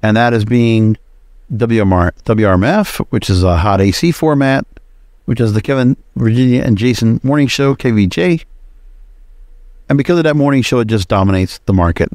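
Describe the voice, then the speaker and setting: A man's voice: medium pitch, moderate pace, a little mellow, dry recording. A man talks through his podcast commentary in a casual, rambling way. He is opinionated and conversational, with a mid-range voice. The sound is very dry, with no room around it. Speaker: podcast host.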